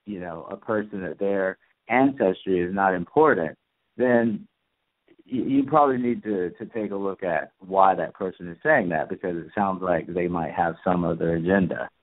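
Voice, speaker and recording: Male radio co-host, lowish voice, measured, tinny phone-like line. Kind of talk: casual call-in radio talk. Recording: narrow phone-like sound.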